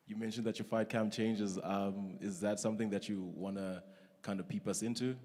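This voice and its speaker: A man asking questions at a press conference, halting and full of fillers. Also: fairly low pitch, fairly even intonation, darkish tone. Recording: long hall reverb.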